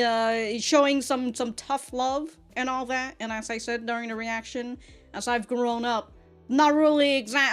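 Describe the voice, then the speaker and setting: Young woman doing a chatty anime reaction review, kinda high voice, giggly n slangy, music behind. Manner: frequently swearing, informal fan slang. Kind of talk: casual rambling episode review with acted-out quotes.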